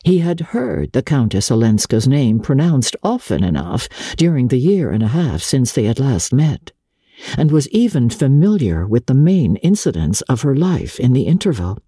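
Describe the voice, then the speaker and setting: A woman reading classic literature as a dramatic audiobook narrator, in a measured, expressive storytelling manner. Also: rumbling low, husky, no room sound, clean studio sound.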